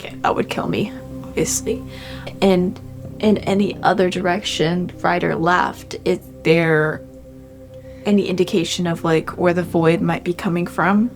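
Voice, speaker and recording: A woman's voice: lowish voice, steady tone, a little slow, faint music behind. A woman chatting casually as a player in a tabletop game, tentatively asking questions and stating her moves.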